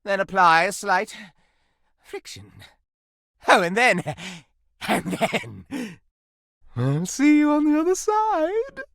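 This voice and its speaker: A pompous, amorous antique candelabra from a video game. The voice is theatrical and florid, leaping wildly from high swoons down to low purrs. It lingers slowly over phrases, savoring every hint of scandal, in a close, dry recording. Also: male voice, huge pitch swings, gravelly, fairly bright tone, no room sound, full character voice. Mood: lustful, insistent. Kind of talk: video game character acting.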